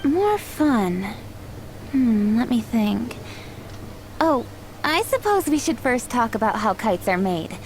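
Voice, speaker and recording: An actress voicing a polite, soft-spoken young woman in a game who explains traditions in a measured, formal way. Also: a little high, sing-song, background noise. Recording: game music behind.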